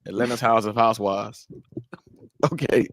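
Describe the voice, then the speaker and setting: A man's voice: fairly low pitch, steady tone, normal speed, no room sound. A man in his twenties hosting a casual podcast chat, slangy and laid-back, laughing often. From Haiti. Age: 20s. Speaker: podcast host.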